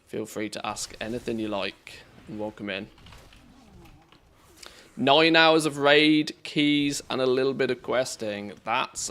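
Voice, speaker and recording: A man with an English accent giving relaxed, chatty commentary on a live game stream, reacting to play and greeting viewers. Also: mid-range voice. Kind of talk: casual live-stream commentary while gaming.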